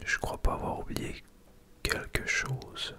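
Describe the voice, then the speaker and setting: A person with a Québécois French accent whispering casual ASMR gameplay commentary in short remarks, breathy and close to the microphone. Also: slow. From Quebec, Canada. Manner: soft, casual, reacting to the game in short remarks. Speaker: gaming ASMR video creator.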